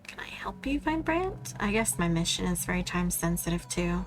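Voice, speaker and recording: A woman's voice: on the low side, very animated, moderate pace. A woman streaming a game, giving casual, playful live commentary and reacting aloud. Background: game music.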